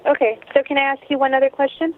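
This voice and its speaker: Fast-talking woman on a tinny phone line. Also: high voice, little pitch movement, background noise. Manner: conciliatory, halting. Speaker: store employee handling a complaint. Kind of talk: customer service phone talk.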